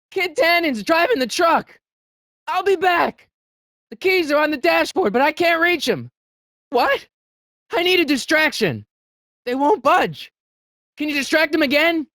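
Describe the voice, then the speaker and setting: An excitable teenage boy hero from a video game, with a swooping, animated delivery. He is earnest and trips over his words when flustered. The recording is dry and close, with no room sound. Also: very high voice, measured pace, pauses often, dry recording, heavily acted. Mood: urgent, shouting, then anxious, scheming.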